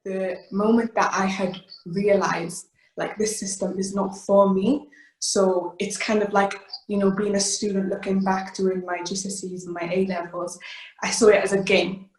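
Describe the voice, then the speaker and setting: A woman's voice: mid-range voice, flat delivery, normal speed, echoey room, rough recording. An eighteen-year-old woman, giving an earnest personal talk with many fillers and restarts. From Wales. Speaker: youth ambassador. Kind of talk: personal talk to an online event.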